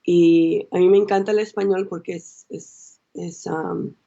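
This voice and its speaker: A young woman from the United States with a Salvadoran and Mexican-influenced Spanish accent, a consultant guest talking in a halting way with restarts over a video call. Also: fairly low pitch, steady tone, gravelly, dark tone, dull audio. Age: young adult. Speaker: guest, early childhood consultant. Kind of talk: casual interview.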